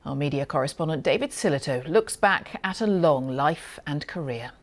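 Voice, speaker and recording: A woman's voice: deep voice, expressive, normal speed. A woman presenting the news, reading a scripted report.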